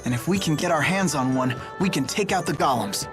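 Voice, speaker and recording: A man acts a keen young man from a game story. His voice sits a little high, plain-spoken and thoughtful, with an inquisitive manner. Light music plays behind. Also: expressive, fairly quick, close to an everyday voice. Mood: earnest, curious. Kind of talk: English game dub acting. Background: light background music.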